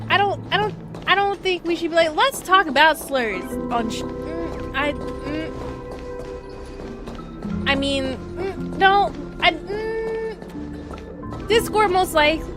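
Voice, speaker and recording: A woman streaming a game, chatting casually with viewers in halting, repeated half-phrases and exclamations. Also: very high voice. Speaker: live streamer playing a game. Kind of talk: casual livestream chat with viewers. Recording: game music behind the voice.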